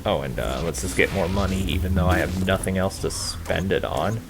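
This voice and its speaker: A man's voice: low voice, a little fast, loud music behind the voice. A man giving casual, rambling let's play commentary, hesitant and casually profane. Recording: noisy home gameplay recording.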